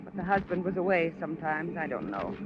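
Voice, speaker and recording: A woman's voice: deep voice, big pitch swings, fairly quick. Through a muffled old radio recording with faint noise, a worn-out, bitter widow. She is plain-spoken and evasive, always on the defensive. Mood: bitter, resigned. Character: bitter, weary, evasive newly widowed wife. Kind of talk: 1950s radio drama acting.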